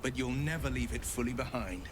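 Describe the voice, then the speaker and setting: An earnest young nobleman hero voiced by a male actor in a fantasy game. His mid-pitched voice is solemn and sincere, set against clear background noise. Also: a little fast, natural voice. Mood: reflective. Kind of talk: video game voice acting.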